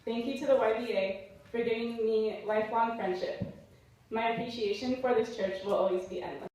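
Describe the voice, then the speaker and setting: A woman's voice: on the high side, normal speed, a little mellow, lo-fi sound. Young woman reciting verse in echoey hall. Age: late teens, young adult. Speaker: graduating senior giving a speech. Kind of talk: reciting a rhyming poem as a speech. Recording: very echoey hall, distant mic.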